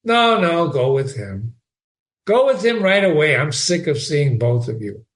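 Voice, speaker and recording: A man's voice: very animated, measured pace. A man voicing a tearful, indignant young woman, a Scottish nurse who scolds and sobs. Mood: scolding. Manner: shrill, scolding, sobbing.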